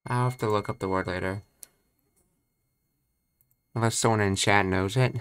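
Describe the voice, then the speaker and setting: A man casually thinking aloud as he studies a language on a livestream, halting and repeating words. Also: lowish voice, fairly even intonation, a bit bright, smooth. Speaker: streamer learning Japanese.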